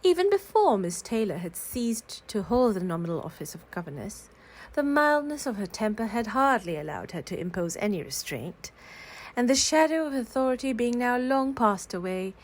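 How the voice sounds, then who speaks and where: A woman reading classic literary fiction aloud in a calm, cozy storytelling style. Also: a little high. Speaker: reader of classic novels aloud.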